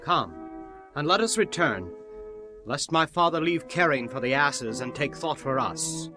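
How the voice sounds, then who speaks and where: A man's voice: a little high, very animated, measured pace, over music. A man playing a dutiful, anxious young son in a dramatized scripture reading, with a concerned manner.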